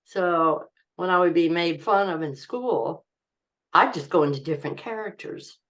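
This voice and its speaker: An older woman, a comedian, telling stories from her life in a rambling, halting way as an interview guest, laughing often. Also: on the low side, a bit bright, muffled recording. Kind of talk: casual interview storytelling.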